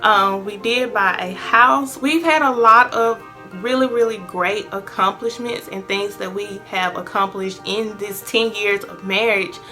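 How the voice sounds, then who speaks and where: A woman's voice: mid-range voice, unhurried, bright, forceful tone, soft background music. A woman in her late twenties sharing personal advice in a chatty, earnest vlog, with many ums. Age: 20s. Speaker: vlogger.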